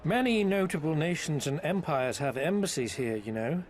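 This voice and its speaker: An actor playing a smooth-talking, foppish male merchant agent in a game cutscene, speaking in a theatrical, flowery, ingratiating way. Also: fairly high pitch, lively intonation, normal speed, slight background noise, natural voice. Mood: explaining. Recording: with background music.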